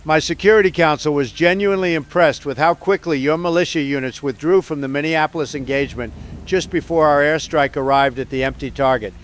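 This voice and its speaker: Scheming politician, audio drama, male actor: polished, self-congratulatory, resonant and slightly high-pitched. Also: brisk, natural voice. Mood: smug approval. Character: self-satisfied, scheming male federal president.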